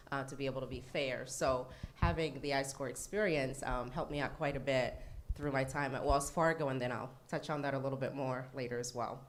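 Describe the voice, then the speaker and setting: Woman from sudan on a panel, really deep voice, calm and measured. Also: slight background noise. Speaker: alumni panelist. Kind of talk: panel talk at a keynote.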